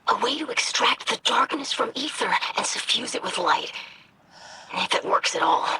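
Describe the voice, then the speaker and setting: A woman's voice: medium pitch, background noise, natural voice. A woman playing an earnest, hopeful young female alien scholar in a video game, speaking carefully. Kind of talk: video game cutscene acting. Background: cinematic music.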